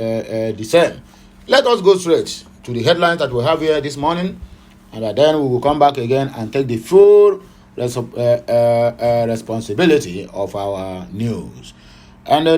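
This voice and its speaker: A middle-aged Nigerian man with an Igbo accent hosting an informal morning news show, reading headlines with many "uh" fillers and Pidgin asides. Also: medium pitch. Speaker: online news host.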